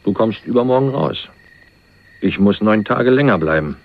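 Through narrow, phone-like sound comes a young heir on the run in a radio crime drama. His voice is fairly low, dry and streetwise, and he confides in plain colloquial speech with wry understatement. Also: lively intonation, moderate pace, no room sound. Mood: confiding. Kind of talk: 1980s German crime radio play.

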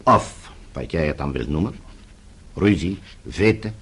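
An actor playing a calmly menacing middle-aged official in a radio play, measured, polite and condescending. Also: male voice, rumbling low, slightly rough, heavily acted. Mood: measured, conciliatory. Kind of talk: Dutch radio play acting.

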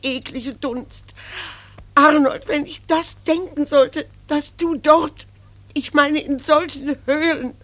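An actress playing a middle-aged, distraught mother who scolds and pleads sternly in a German radio drama. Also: high voice. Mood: disgusted, anguished. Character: distraught, reproachful mother scolding her grown son.

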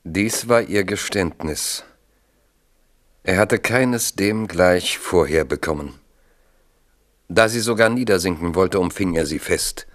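A man narrating a 1970s radio drama in a measured, formal storytelling manner. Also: sits low.

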